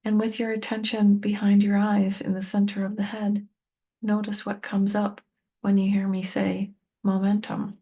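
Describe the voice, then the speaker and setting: A woman leading a guided meditation, speaking gently and unhurriedly to the listener in short, spaced-out phrases. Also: mid-range voice, completely flat delivery, moderate pace, breathy edge. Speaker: meditation teacher and tapping coach.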